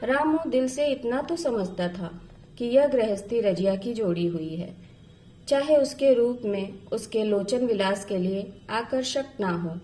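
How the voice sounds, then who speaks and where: A woman's voice: on the high side, animated, measured pace, warm tone, soft background music, poor audio. A woman storyteller reading a literary story as scripted narration.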